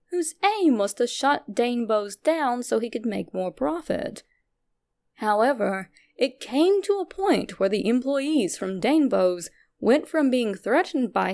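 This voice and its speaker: High-pitched, expressive woman narrator with creaky edges. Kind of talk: scripted narration of a fan theory horror story.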